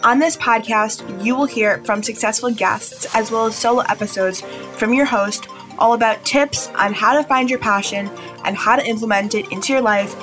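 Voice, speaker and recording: A woman's voice: a little high, moderate pace, over music, poor audio. A young woman, a college student, hosting a podcast and interviewing a guest in an upbeat way. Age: young adult. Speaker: podcast host.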